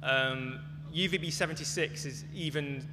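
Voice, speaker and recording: A man's voice: fairly high pitch, normal speed. A man giving an informal, hedged explanation as a speaker answering audience questions at a live talk. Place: large echoey venue at a live talk. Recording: echoey hall, clear background noise, hum.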